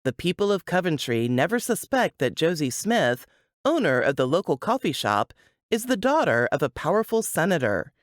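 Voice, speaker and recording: A woman's voice: deep voice, big pitch swings, moderate pace, no echo, clean studio sound. A woman narrator reading a scripted book blurb.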